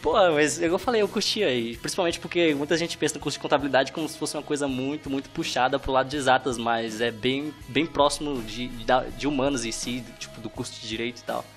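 A Brazilian man with a Northeastern accent from Ceará talks as a podcast guest at a middle pitch. He sounds laid-back and self-deprecating, laughing easily and restarting his sentences as he goes. Faint music sits under his voice. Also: fast, few pauses. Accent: Brazilian Portuguese, Northeastern-influenced from Ceará. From Brazil, born in Fortaleza, raised in Brasília. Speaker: guest illustrator. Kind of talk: casual podcast chat.

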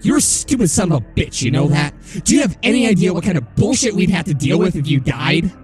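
A man's voice: a little high, very animated, fast, music in the background. A man voicing a cocky, hot-tempered thug who swaggers and swears in a horror audio drama. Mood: furious. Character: cocky, foul-mouthed male thug, hot-tempered and taunting.

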